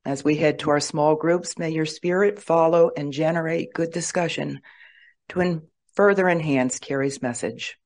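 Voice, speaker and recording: A speaker in a church hall leads an opening prayer. The voice sits at a middle pitch. The delivery is reverent and measured. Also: steady tone, darkish tone. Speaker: prayer leader.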